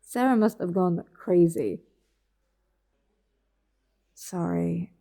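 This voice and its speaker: An actress playing a dry, concerned sister in a naturalistic audio drama, with a wry, conversational delivery. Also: female voice, fairly low pitch, extremely slow, vocal fry, mellow, heavily acted. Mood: amused. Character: dry, concerned adult sister keeping vigil.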